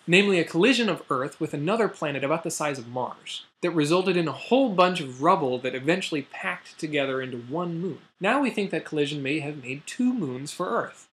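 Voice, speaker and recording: A young man with an American accent and a somewhat high-pitched voice hosts a science explainer. His delivery is semi-scripted but conversational. He often breaks off into playful asides and mock exclamations before returning to the explanation. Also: a bit bright. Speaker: host of a science news video show.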